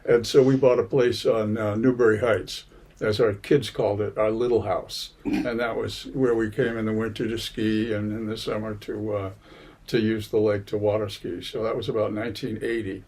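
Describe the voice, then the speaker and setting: An older man in his seventies with a somewhat low, husky voice. He speaks slowly and hesitantly, filling his sentences with small pauses as he recalls the past in an oral history interview. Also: flat delivery, a little mellow. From Massachusetts, USA. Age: 70s. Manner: unhurried, many hesitations and fillers. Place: public library.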